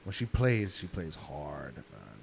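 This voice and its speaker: A man's voice: rumbling low, very animated, slow pace. A man chatting casually in a laid-back, slangy way with plenty of swearing. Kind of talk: casual chat. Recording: room recording with clear background noise.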